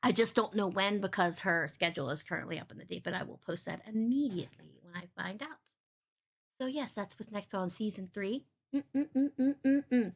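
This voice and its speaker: A woman with a big, boomy voice hosts a casual streaming podcast. She rambles cheerfully from topic to topic and swears without a second thought. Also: a little fast. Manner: bubbly, swears freely, rambling. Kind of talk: casual livestream podcast chat.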